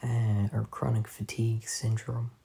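A hoarse man hosting a casual solo podcast at home, soft-spoken and halting, recorded on a phone voice memo. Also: lowish voice, monotone. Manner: soft-spoken, halting, drifting off mid-sentence. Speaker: podcast host.

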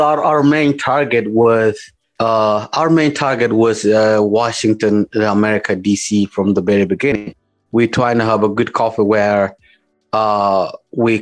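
A man with a South Sudanese accent answering interview questions as a company founder, in informal, halting, repetitive phrasing. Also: fairly low pitch. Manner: informal, repetitive, halting phrasing with non-standard English grammar.

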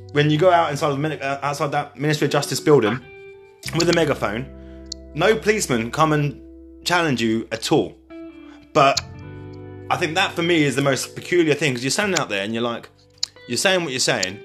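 A man hosts a casual interview at a middle pitch, agreeable and encouraging. He rambles and restarts his sentences as he goes. Faint music plays softly behind him. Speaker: podcast host.

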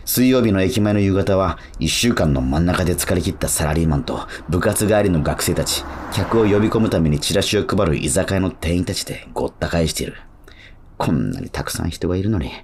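Radio drama lead: cynical young street musician, low, lively delivery, blunt muttered asides. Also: male voice, moderate pace, slight background noise. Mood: brooding, defiant. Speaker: narrator and lead character. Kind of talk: first-person radio drama monologue. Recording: acoustic guitar music behind.

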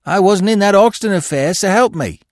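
A cheeky, sullen little crook out of a storybook, put on by a male narrator. His high voice jumps about as he whines and answers back in rough, slangy grammar. He is cunning and defiant, recorded very dry. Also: wildly swooping pitch, moderate pace, no room sound, heavily acted. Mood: sullen, wary. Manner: whining, indignant, defiant, colloquial grammar. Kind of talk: audiobook character voice.

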